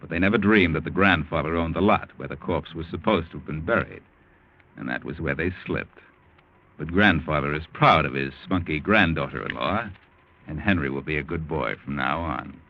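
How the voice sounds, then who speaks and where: An actor playing a knowing, sardonic American storyteller narrating a 1940s radio mystery. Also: male voice, very deep voice, normal speed. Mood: knowing, wry. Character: sly, omniscient mystery storyteller. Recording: muffled 1940s radio recording. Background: music.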